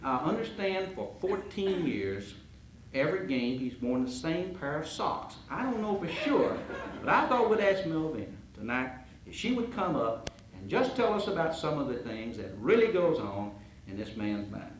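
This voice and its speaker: A man's voice: fairly high pitch, sing-song, normal speed, a little hiss or hum. A man acting as emcee at a roast, telling folksy stories about the coach and introducing speakers. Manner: folksy, reminiscing. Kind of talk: roast speech and reading a proclamation. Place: banquet hall. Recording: echoey banquet hall.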